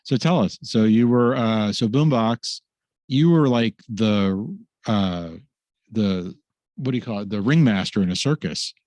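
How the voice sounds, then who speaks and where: A man's voice: on the low side, unhurried, a little mellow, no echo. A man hosting a casual webinar, rambling with many fillers and restarts. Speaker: host.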